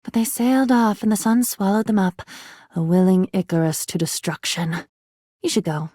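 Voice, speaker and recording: A woman voicing a manic, dramatic living diary in a video game, mercurial and aristocratic, swinging between dignity and excitability. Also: medium pitch, normal speed, no room sound, clean studio sound, lightly acted. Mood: grandiose. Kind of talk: video game character acting.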